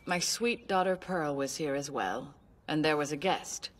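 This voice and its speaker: An actress in an anime dub playing a prim, formal mother, overly polite and commanding, with a sharp temper. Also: low voice, unhurried.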